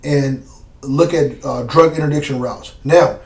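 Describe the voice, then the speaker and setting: A man telling stories in a casual interview, relaxed and slangy, with plenty of fillers and asides. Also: mid-range voice, unhurried, distant mic. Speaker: former Green Beret guest. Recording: roomy sound from off mic.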